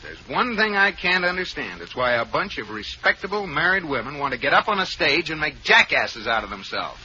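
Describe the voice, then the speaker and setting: An actor playing a wry, teasing husband and banker in a 1940s radio sitcom. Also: male voice, fairly high pitch, big pitch swings, fairly quick, bright, forceful tone, a little hiss or hum, exaggerated voice. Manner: dry, wisecracking. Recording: muffled old radio broadcast.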